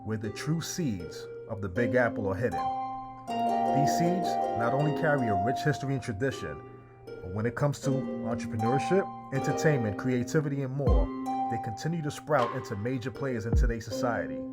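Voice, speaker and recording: A man from New York City, hosting the show, reading a scripted intro in measured, short phrases. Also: medium pitch, lively intonation. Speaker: show host. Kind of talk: scripted narration. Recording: voice-over with loud music.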